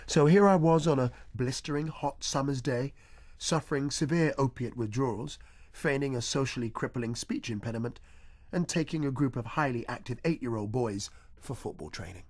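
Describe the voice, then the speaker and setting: A man playing a nostalgic, washed-up supply teacher, telling his story as a deadpan scripted comic monologue. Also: mid-range voice, normal speed, dry recording, close to an everyday voice. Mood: wry, matter-of-fact.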